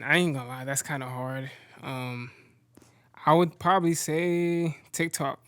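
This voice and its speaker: A young man from Central Florida talking as a guest in a casual chat, relaxed and slangy, laughing easily. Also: medium pitch, smooth, dry recording. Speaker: guest content creator.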